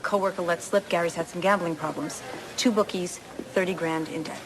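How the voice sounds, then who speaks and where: A woman playing a brisk, businesslike police captain in an audio crime drama. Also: fairly low pitch, fairly even intonation, close to an everyday voice, low-quality recording. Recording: busy crowd chatter behind.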